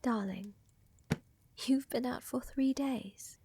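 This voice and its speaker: Breathy, slow-spoken vampire countess, acted by a woman. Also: on the high side, full character voice. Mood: tender, attentive. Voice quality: soft, breathy, often whispering. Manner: gentle, reassuring, unhurried, affectionate. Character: tender, doting vampire countess, flirtatious lover. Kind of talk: soft romantic ASMR roleplay. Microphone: close microphone, dry.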